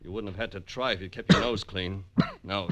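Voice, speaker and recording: A man's voice: lowish voice, normal speed, lightly acted. A man playing a menacing, curt killer in 1940s radio drama style. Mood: cold menace. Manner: threatening, curt. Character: menacing male killer holding a gun, cornered and curt. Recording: noisy vintage radio recording.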